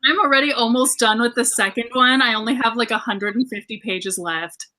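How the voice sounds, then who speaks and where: Bright, upbeat woman co-hosting a livestream. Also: a little high, a little fast. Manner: upbeat, giggly, says "awesome" a lot. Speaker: book club livestream co-host. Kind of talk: casual chat.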